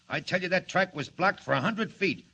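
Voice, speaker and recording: An actor playing a sullen, tough male henchman in 1940s radio acting, with gruff, slangy talk. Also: high voice, normal speed, bright, forceful tone, lo-fi sound, slight background noise, exaggerated voice.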